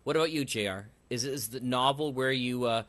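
Courteous male podcast host, medium pitch. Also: brisk, fairly bright tone. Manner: courteous, appreciative. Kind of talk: casual interview hosting.

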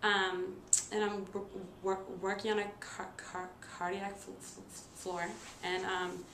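A woman in her twenties telling a personal story casually to camera, halting and stuttering with repeated sounds, blocks and frequent fillers. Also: mid-range voice, level tone, a bit bright. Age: 20s. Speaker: nursing student and stuttering therapy client. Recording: roomy echo with noticeable background noise.

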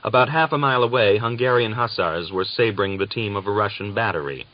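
Deep-voiced male audiobook narrator, slightly muffled. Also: no echo. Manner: measured, deliberate literary delivery. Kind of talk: dramatic scripted novel narration. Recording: dull, lo-fi audiobook recording.

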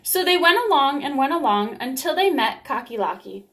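A woman's voice: high voice, lively intonation, moderate pace, a bit bright. A young adult woman reading a picture book aloud to children in a small room at home. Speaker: storybook reader. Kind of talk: children's read-aloud, scripted narration.

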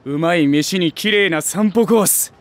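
An actor playing an ambitious, streetwise stray tomcat, a small-time gang big brother with brash, rough talk in a voice drama. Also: male voice, sits high, unhurried. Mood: cocky, scheming. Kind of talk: Japanese voice drama acting.

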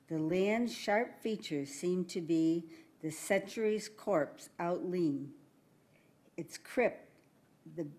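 A woman reads verse aloud to a room. Her voice sits a little low, and her delivery is very slow and deliberate. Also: darkish tone. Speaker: poetry reader. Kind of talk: reading a poem aloud to an audience. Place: library meeting room.

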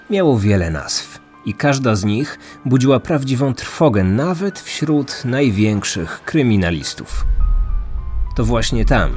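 A man narrates a true-crime podcast from a script. His voice sits at an average pitch, with music playing underneath. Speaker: podcast host. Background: background music.

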